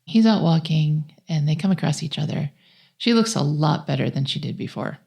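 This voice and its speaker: A woman's voice: sits low, expressive, moderate pace, creaky, a little mellow. A woman co-hosting a podcast, recapping a film's story in a conversational way. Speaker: podcast co-host. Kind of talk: conversational film plot recap.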